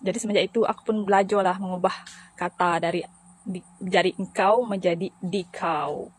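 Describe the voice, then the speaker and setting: A woman's voice: on the low side, fairly even intonation, moderate pace, breathy edge. A woman with a Riau Malay accent telling a chatty personal story to camera. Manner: chatty, anecdotal.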